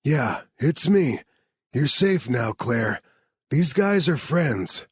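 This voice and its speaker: A man's voice: medium pitch, big pitch swings, slow pace, breathy edge, dry recording, clean studio sound, pitched above the performer's own voice, exaggerated voice. A man voicing a blunt, protective male team leader in an audiobook. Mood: reassuring. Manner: blunt, commanding.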